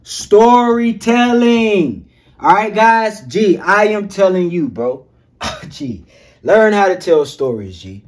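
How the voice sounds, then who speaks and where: A man coaching other men on social skills in a casual, energetic monologue, full of slang and swearing, calling viewers G and fellas. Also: high voice, slow. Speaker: self-improvement coach.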